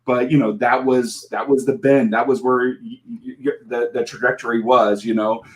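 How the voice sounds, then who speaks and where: A man's voice: mid-range voice, level tone, moderate pace. A man in his forties, a comic creator guest on a podcast, telling a rambling, self-deprecating story and laughing often. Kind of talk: casual podcast interview.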